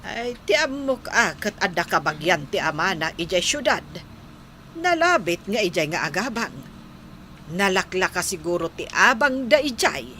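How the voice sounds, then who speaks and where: A woman's voice: medium pitch, very animated, normal speed, sharp tone, slight background noise. A Filipino actress playing a protective, suspicious, chiding mother in a radio drama. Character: protective, suspicious mother of a college-bound daughter.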